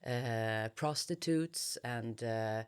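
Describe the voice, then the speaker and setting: A woman with a Swedish accent giving reflective interview answers, hesitant and often pausing to search for words. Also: a bass voice, smooth. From Sweden. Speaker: interviewed novelist.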